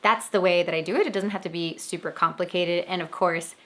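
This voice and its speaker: A woman hosting her own podcast, chatting casually about spirituality. She rambles along with lots of "like" fillers. Her voice sits a little low for a woman. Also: a little fast, fairly bright tone. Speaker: podcast host.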